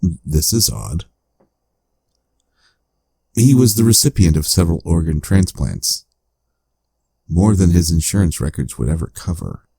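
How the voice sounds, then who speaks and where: A man playing a calm, analytical AI companion in a cyberpunk audio drama, speaking in a measured, formal, clinical way. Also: low-pitched, vocal fry, slightly rough, no room sound, clean studio sound, heavily acted. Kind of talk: scripted audio drama narration.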